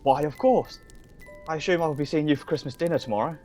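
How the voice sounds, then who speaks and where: A man acts a meek, good-hearted Victorian clerk for radio drama. He is polite, with a slightly high voice over faint music. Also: fast, a little mellow, natural voice. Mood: cheerful. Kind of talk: radio play acting.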